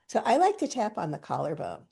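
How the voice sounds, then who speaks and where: A woman's voice: medium pitch, huge pitch swings, a little fast, creaky, dark tone, husky. A woman hosting a group video call, chatty and enthusiastic, telling stories and laughing often. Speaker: host.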